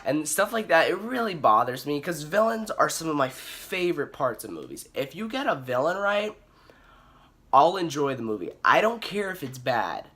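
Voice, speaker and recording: A man with a raspy voice giving a casual, opinionated movie review in an emphatic, exasperated way. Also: fairly high pitch, big pitch swings, moderate pace, a bit bright.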